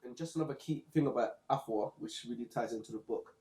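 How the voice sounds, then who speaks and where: A man from Zimbabwe hosting a casual book discussion podcast, talking in a relaxed way with frequent restarts. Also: mid-range voice, reverberant. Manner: relaxed, halting with repeated restarts. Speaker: podcast host.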